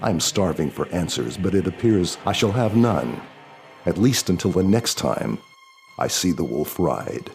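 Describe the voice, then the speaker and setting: Male, low pitch, expressive range, solemn dramatic delivery, deliberate audiobook narration. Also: gravelly, faint music behind. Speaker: author reading own novel.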